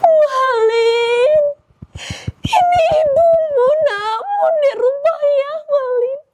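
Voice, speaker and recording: A woman's voice: very high-pitched, drawn-out words, darkish tone, pitched above the performer's own voice, full character voice. A girl voicing a poor old mother who sobs and pleads for her lost son. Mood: tearful pleading. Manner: sobbing, pleading.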